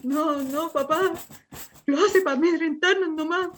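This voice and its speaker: Woman acting a high-voiced, distraught Chilean daughter. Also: a little slow, noisy background. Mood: upset. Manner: earnest, insistent. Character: distraught, determined daughter protecting her sister. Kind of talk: radio drama acting. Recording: dull, lo-fi sound.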